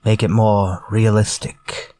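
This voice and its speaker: An actor playing a menacing, politely theatrical murderer in a retro-style radio drama. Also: male voice, fairly low pitch, little pitch movement, mellow. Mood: coaxing. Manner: courtly, measured, theatrical. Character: menacing murderer from a story, politely coaxing then vengeful.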